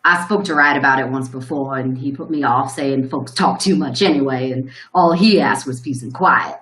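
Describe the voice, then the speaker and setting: Woman acting as country farmer, low pitch, rambling folksy delivery, radio play, roomy echo. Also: normal speed, fairly bright tone. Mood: folksy, puzzled. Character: plain-spoken rural male farmer recounting a shock. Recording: roomy, echoing sound.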